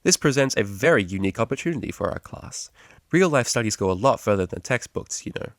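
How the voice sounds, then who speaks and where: A man's voice: medium pitch, lively intonation, fast, dry recording, studio quality, close to an everyday voice. Man playing an easygoing, jovial pony tutor. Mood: enthusiastic. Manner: patient, jovial, explanatory. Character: easygoing, enthusiastic male pony psychology tutor.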